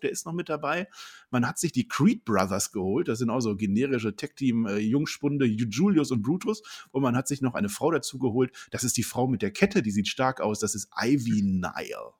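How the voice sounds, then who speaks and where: A man's voice: mid-range voice, expressive, a little fast, a little mellow, husky. A man co-hosting a casual podcast, rambling through a rundown with dry jokes. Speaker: podcast co-host. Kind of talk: casual wrestling chat.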